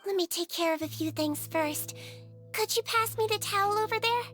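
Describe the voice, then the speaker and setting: A woman's voice: very high-pitched, normal speed, a bit bright, soft background music. A woman voicing a small, childlike nurse creature in a video game, caring and earnest, speaking politely and with clinical care. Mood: calm, serious. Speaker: head nurse character.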